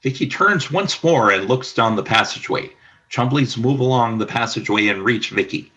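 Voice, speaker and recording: A man narrating a scripted radio play as announcer, in a formal, deliberate storytelling manner. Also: mid-range voice, pushed and bright, husky, muffled recording.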